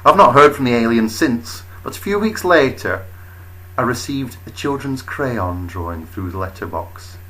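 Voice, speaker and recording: Male storyteller, bright forward tone, animated swings in pitch, wry understatement, comic bedtime-story narration. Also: moderate pace, low-quality recording. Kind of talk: dramatic comic first-person story narration.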